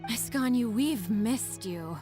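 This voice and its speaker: Slow, adoring overworked game clerk. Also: female voice, fairly high pitch, lively intonation, a little mellow, faint music behind. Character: weary, adoring young female office worker. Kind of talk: anime video game voice acting.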